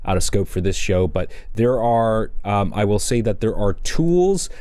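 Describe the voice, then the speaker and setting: A man co-hosting a talk show, calmly explaining technical details as the show's producer. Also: lowish voice, measured pace, no room sound. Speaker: producer and co-host. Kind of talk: casual tech talk show chat.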